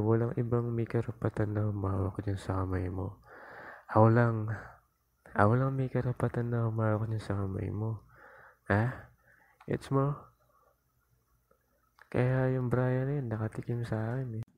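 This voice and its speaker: A man acts out a young Filipino boyfriend in an intimate ASMR roleplay. He is jealous and possessive, sulking and whining playfully at his partner. His medium-pitched voice is recorded close and dry on a lapel mic. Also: vocal fry, mellow, no room sound, natural voice. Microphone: lapel mic into a phone, close.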